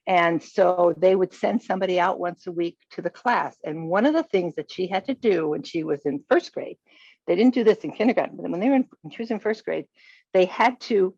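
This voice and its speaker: A woman's voice: fairly low pitch, darkish tone, dry recording. An older woman telling casual anecdotes over a group video call. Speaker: audience member asking a question. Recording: dull lo-fi video call audio.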